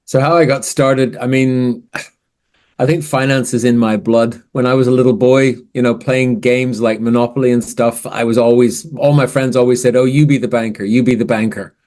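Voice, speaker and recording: A man's voice: medium pitch, moderate pace. Canadian-born man in his sixties, easygoing. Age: 60s. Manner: relaxed storytelling with fillers. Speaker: podcast guest, finance strategist. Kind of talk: casual interview answers.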